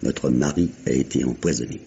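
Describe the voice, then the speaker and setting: A grave family doctor, speaks regretfully in vintage radio style. The voice is extremely low, creaky and gravelly. The old recording is muffled. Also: male voice, fairly quick, heavy background noise. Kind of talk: 1950s French radio mystery drama acting.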